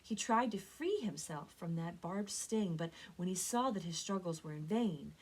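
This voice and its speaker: A woman reads a story aloud expressively, with deliberate, dramatic phrasing and strong emphasis, like a librarian at story time. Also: medium pitch, fairly bright tone, breathy edge. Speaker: librarian reading stories for a library program.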